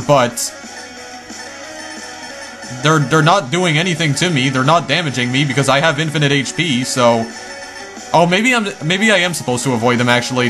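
Male let's-player, slightly hoarse, casual exclamation-heavy commentary, faint music underneath. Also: a little high. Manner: chatty, easily startled, lots of exclamations. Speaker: let's play gamer.

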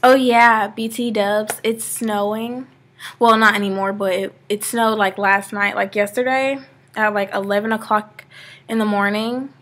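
Bright-voiced chatty young woman. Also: medium pitch, little pitch movement, a little slow. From Georgia, USA. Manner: chatty and rambling, many "like" fillers. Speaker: vlogger. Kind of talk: casual webcam vlog. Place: living room, seated on a sofa indoors. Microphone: laptop webcam, close framing.

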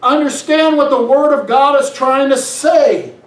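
Middle-aged male pastor, high voice, slow emphatic preaching, recorded in an echoey church. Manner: emphatic, exhorting, drawn-out phrases with rhetorical questions. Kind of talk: preaching a sermon, reading scripture aloud. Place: small church sanctuary, on stage. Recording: echoey room, distant from mic.

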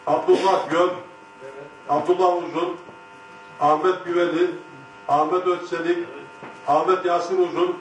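A middle-aged man formally reading out a list in short, separate, monotone phrases. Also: high voice, measured pace, pauses often, slight background noise. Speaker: official reading out names. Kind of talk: formal roll call of names. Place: large conference hall, standing on stage. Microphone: handheld microphone. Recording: echoey hall PA from far off.

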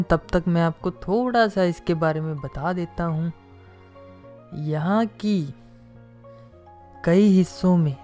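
A man's voice: on the high side, darkish tone, clean tone. A man speaks as the host of a travel video. His voice-over is casual and conversational, with faint music playing behind it.

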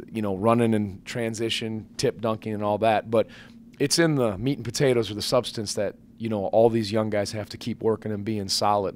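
A man in his forties, a basketball head coach answering questions at a postgame press conference into a table microphone, measured and earnest with many fillers and restarts. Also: lowish voice, slight background noise. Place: press conference room with sponsor backdrop.

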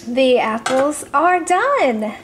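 A woman hosting a budget cooking video, calmly explaining each step from her home kitchen with a few casual fillers. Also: sits high, extremely slow, a little hiss or hum. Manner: friendly step-by-step instructions with fillers. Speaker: cooking channel host.

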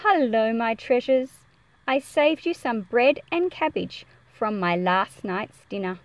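A woman reading the part of a gentle, lonely old lady in a picture book, fond and reassuring. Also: fairly high pitch, expressive, slow, no echo, highs cut off. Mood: fond, soothing.

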